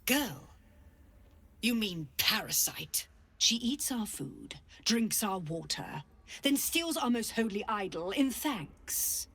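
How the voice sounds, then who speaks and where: Bright, imperious druid woman. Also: medium pitch, very animated, slow pace, husky, exaggerated voice. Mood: scornful, commanding. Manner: commanding, contemptuous. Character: stern, zealous druid leader woman, cold and imperious. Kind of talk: video game voice acting.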